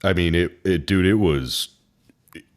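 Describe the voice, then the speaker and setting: A man's voice: low voice, a little slow. A man co-hosting a podcast, telling a workplace story casually and animatedly, with casual swearing. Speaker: podcast co-host, service advisor. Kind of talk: casual chat.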